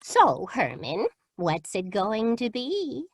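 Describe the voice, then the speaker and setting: A woman acts out a sweet old lady vampire in a radio play. The voice is high and very animated, lingering over each phrase. She chats about killing with theatrical, blithe ease, in a dry, close sound. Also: slow, slightly rough, dry recording, exaggerated voice. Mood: menacing, fed up. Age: older. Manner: chatty, theatrical, matter-of-fact about killing. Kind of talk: 1940s-style radio horror acting.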